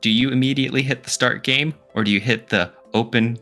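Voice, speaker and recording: A man co-hosting a podcast, chatting casually and informally about his opinions with animated reactions. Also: medium pitch, a little fast, soft background music. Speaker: podcast co-host.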